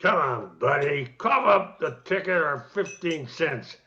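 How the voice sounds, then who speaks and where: A man playing a gruff, impatient streetcar conductor in a radio comedy play, curt and barking, with a gravelly voice. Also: on the high side, animated, a little slow, pushed and bright, muffled recording, heavily acted.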